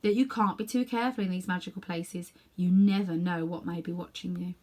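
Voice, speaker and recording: A woman's voice: lowish voice, fairly even intonation, brisk, a little mellow, natural voice. Storybook schoolboy hero, read by a woman: earnest, direct, stubborn. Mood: reasoning. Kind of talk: children's storybook character reading.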